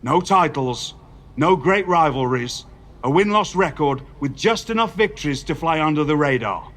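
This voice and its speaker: A stern older wrestling general manager, measured and formal in a video game cutscene. He lectures a young wrestler in slightly raised tones. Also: on the high side, expressive, a bit bright.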